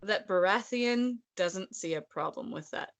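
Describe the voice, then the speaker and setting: A woman's voice: mid-range voice, measured pace. A woman co-hosting a casual book discussion, talking in a blunt, emphatic, sarcastic way. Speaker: podcast co-host discussing a novel.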